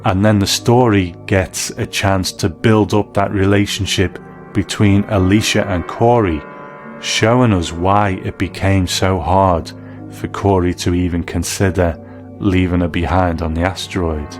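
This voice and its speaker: A man with a very low voice presents a scripted documentary review. He is the host, narrating with soft music behind him. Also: fairly even intonation, unhurried.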